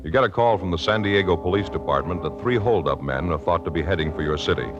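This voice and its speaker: A man's voice: low voice, expressive, fast. A man with an American accent announcing in a solemn, emphatic 1950s radio style, reading a script. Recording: dull old radio recording. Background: music.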